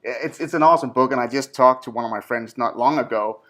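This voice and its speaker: Danish guy hosting a podcast, eager, lots of ums and restarts, middling pitch. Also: a bit bright. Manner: enthusiastic, halting with fillers and restarts. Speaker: podcast host. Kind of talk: interview podcast.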